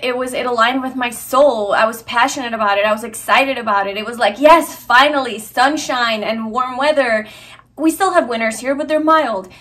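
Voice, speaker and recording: A woman's voice: on the high side, a bit bright. A young woman from Hungary talking casually and earnestly to her vlog viewers, with lots of fillers and restarts. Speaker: vlogger. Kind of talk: casual personal vlog talk.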